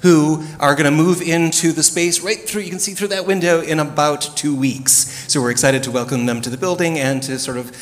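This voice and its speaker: A man's voice: a little high, fairly even intonation, brisk, hardly any pauses. Middle-aged guy hosting a stage panel, handheld mic, lots of ums, hall reverb trailing. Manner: chatty, many fillers and restarts. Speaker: moderator, opera dramaturg. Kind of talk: panel discussion moderating. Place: large theater stage.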